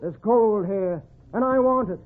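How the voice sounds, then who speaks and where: A man's voice: high voice, unhurried. A man playing a domineering, greedy wagon boss with folksy Western speech in a 1940s radio western. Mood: hard, scheming. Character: bullying, greedy male wagon boss after gold. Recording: tinny, band-limited old radio recording.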